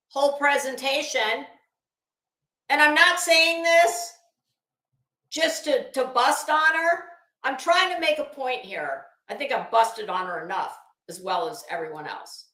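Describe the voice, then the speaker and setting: A woman's voice: high-pitched, slow, pauses often, bright, forceful tone, echoey room. A woman doing casual livestream commentary as host, blunt, salty and emphatic, with a disdainful edge.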